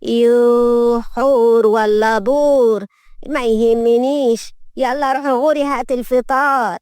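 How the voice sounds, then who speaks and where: A woman's voice: on the high side, steady tone, a little slow, darkish tone, no echo, pitched above the performer's own voice, lightly acted. A woman with an Egyptian accent voicing a cantankerous, imperious old lady of the house, scolding and drawling in an audio story. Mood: dismissive. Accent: Egyptian Arabic.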